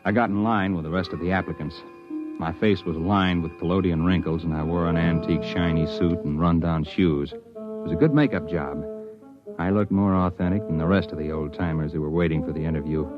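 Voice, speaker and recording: A man plays a thoughtful amateur sleuth of about forty in a 1950s radio mystery. His voice is very low, measured and conversational. The recording is dull and dated. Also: music in the background, close to an everyday voice. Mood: narrating, intent. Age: 40s. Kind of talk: 1950s radio drama, first-person noir narration. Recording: muffled vintage radio recording.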